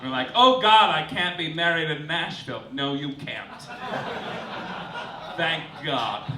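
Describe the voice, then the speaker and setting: A man's voice: a little high, lively intonation, unhurried. A man performing a satirical stage monologue as host, with an arch, campy, theatrical delivery of mock official announcements. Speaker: comedian, host of a live comedy show. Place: live stage in a club before an audience. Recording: roomy, distant live recording with noise.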